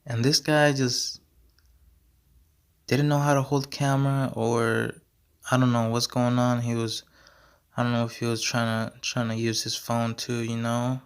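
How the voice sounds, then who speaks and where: A young man hosting his own podcast, rambling in a slangy, repetitive way and going hoarse as he talks. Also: medium pitch, completely flat delivery, unhurried. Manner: slangy, repeats himself, many fillers. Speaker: podcast host. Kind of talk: casual rambling monologue.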